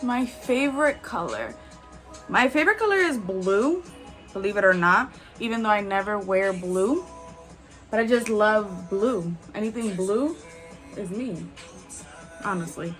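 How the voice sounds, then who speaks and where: A woman in her twenties chatting candidly as a beauty vlogger while doing her makeup, with frequent "like"s and soft laughs. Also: mid-range voice, animated, unhurried, long pauses, faint music behind. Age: 20s.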